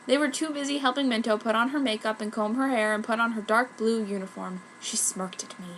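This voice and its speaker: A woman's voice: on the high side, fairly quick, a bit bright, slight background noise. A woman voicing a self-pitying, dramatic goth schoolgirl telling her own story in a fanfic reading. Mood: sad, self-pitying. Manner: melodramatic. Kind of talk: mock-earnest first-person fanfic narration.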